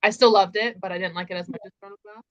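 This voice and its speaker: A woman's voice: medium pitch, steady tone, racing, no echo, lo-fi sound. A woman co-hosting a book discussion in casual, conversational chat. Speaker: co-host of a book readalong live stream.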